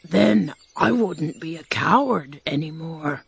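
A woman voicing a timid, fearful male lion in a storybook reading, slow and hesitant. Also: deep voice, animated, creaky, breathy edge, no echo, full character voice.

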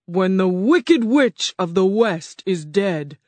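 A narrator voicing a huge, terrifying wizard who speaks slowly and loudly, with a higher, distant-sounding voice. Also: lively intonation, no echo. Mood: booming. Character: booming giant wizard head, terrifying.

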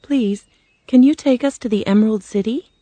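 A woman's voice: a little high, normal speed, mellow, no room sound, pitched above the performer's own voice, close to an everyday voice. A woman voicing an earnest, determined young girl in a storybook. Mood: questioning.

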